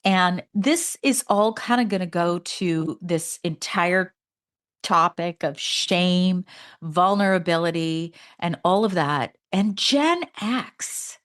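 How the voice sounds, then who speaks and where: Lady in her fifties doing a solo podcast, kinda low voice, earnest, chatty, voice jumps up when she stresses stuff. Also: slow pace, fairly bright tone. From Canada. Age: 50s. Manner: conversational and earnest, pitch jumps up for emphasis. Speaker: podcast host.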